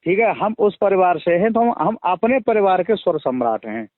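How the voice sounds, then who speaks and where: A man's voice: high-pitched, big pitch swings, moderate pace, no echo. Assertive man on a narrow phone line. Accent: western Uttar Pradesh. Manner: assertive, defensive, repetitive. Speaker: religious storyteller. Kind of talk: argumentative phone conversation. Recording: tinny phone call recording.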